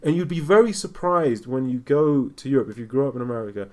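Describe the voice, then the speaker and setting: A British man co-hosting a podcast, talking in animated, digressive stretches and laughing often. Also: mid-range voice, fast. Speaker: podcast co-host. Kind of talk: casual chat.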